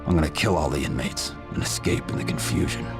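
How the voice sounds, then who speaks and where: Ruthless game antihero, very low gravel, terse, deadpan, menacing, loud music behind. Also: male voice, quick pace. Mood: cold menace. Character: grim, ruthless male vigilante antihero. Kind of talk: video game cutscene acting.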